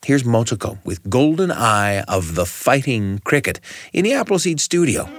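A man presenting a storytelling radio show, reading scripted introductions in a measured, polished presenter's delivery. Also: medium pitch, sing-song. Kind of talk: scripted narration introducing stories. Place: radio studio.

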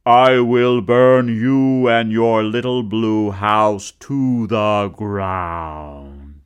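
A male storyteller voicing a greedy, nasty man in the sun, loud, slow and growling. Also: fairly low pitch, smooth. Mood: menacing. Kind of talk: storytelling performance.

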